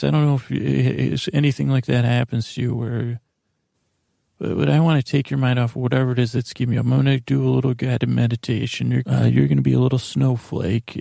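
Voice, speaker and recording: Very gravelly, mellow man in his forties. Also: mid-range voice, dry recording, clean studio sound. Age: 40s. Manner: meandering, halting, self-interrupting, self-deprecating. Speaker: podcast host. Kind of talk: rambling bedtime monologue for sleep.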